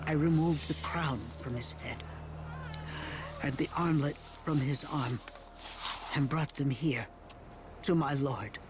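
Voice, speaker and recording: An actor playing an anxious young battlefield messenger in a dramatised audio Bible, reporting earnestly and haltingly. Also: male voice, a little high, noisy background. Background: music.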